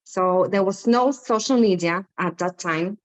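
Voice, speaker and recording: A woman's voice: mid-range voice, unhurried, lo-fi sound. Polish woman in her 30s chatting on a video call, candid and rambling. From Poland. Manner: chatty, candid storytelling with fillers. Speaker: guest artist. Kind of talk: casual interview on a video call.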